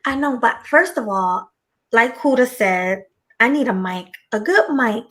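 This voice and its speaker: A woman on an online panel with a soft voice, asking questions in an unsure way. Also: a little high, expressive, measured pace. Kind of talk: casual online panel chat.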